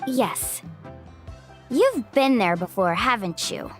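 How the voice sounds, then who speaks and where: Young game heroine: friendly island guide, highish animated voice, upbeat, sincere, faint music behind. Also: female voice, takes it slowly. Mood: earnest, curious. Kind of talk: scripted game dialogue. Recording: background music under the dialogue.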